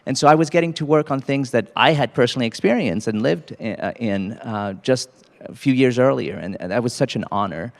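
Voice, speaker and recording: A man in his forties from Cambodia, an associate dean giving a casual, halting talk to students with many fillers. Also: medium pitch, creaky, long reverb tail. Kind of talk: faculty panel talk to students.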